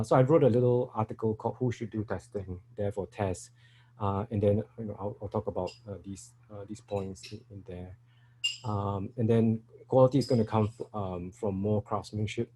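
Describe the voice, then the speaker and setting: A man's voice: lowish voice, dark tone, slight background noise, rough recording. A middle-aged man from Singapore with a Singaporean English accent, giving a talk at a developer meetup, hesitant with many fillers and restarts. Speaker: meetup speaker. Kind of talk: conference talk.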